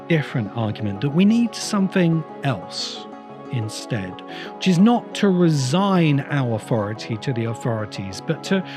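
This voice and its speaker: A man delivering a reflective, semi-improvised spoken essay as a host, with deliberate, halting phrasing and emphatic repeats. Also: on the high side. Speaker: host of a science fiction video essay podcast.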